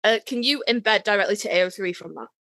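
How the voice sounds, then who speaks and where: A woman's voice: medium pitch, quick pace, fairly bright tone, no echo. A woman co-hosting a podcast, chatting casually in a teasing, playful way. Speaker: podcast co-host. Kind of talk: casual chat.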